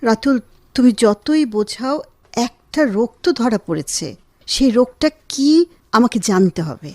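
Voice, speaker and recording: A woman playing an affectionate, gently insistent wife in a radio drama, with an expressive, tender delivery. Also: on the high side, measured pace, no room sound. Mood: insistent, complaining. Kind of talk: Bengali radio drama acting.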